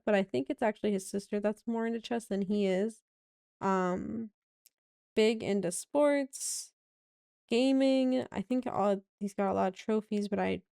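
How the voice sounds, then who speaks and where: A young woman with a raspy voice, casually narrating a tour of a house she built in a game, rambling with many fillers. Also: mid-range voice, dark tone, dry recording, clean studio sound.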